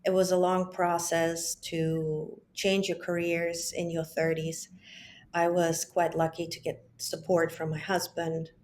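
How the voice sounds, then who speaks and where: A woman's voice: lowish voice, little pitch movement, measured pace, a little mellow, a little hiss or hum. A woman in her forties, a psychologist who grew up in Germany, speaking reflectively as an interview guest over a remote call from home. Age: 40s. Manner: reflective, with frequent restarts and asides. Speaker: psychologist and business founder, guest. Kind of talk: casual interview conversation. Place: home, children in the background.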